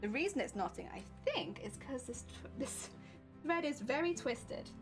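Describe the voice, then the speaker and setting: A woman's voice: high voice, lively intonation, normal speed, music in the background. Chatty woman streaming while sewing. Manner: chatty, explains her sewing steps as she works, laughs often. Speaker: cosplay crafting streamer. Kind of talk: casual livestream chat while sewing.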